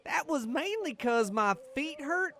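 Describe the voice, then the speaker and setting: A woman as a high, timid young duckling. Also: lively intonation, a little slow, a bit bright, exaggerated voice. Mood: timid, apologetic. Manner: timid, hesitant, apologetic. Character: meek, clumsy young male duckling recruit. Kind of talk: audio drama acting.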